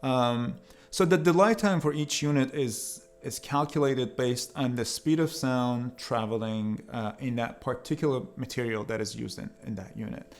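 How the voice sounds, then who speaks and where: A man from Iran giving an explanatory talk as a sound artist, in measured, hesitant phrases with pauses. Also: mid-range voice. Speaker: sound artist presenting own work.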